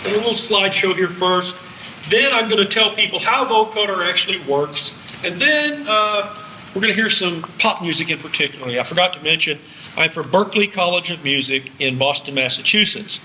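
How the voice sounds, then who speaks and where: Male American music lecturer, older, highish forceful voice, dry humor, recorded at a distance in a live room. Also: lively intonation, moderate pace. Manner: wry, jokey asides. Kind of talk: public lecture with slides. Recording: echoey hall, distant, faint background noise.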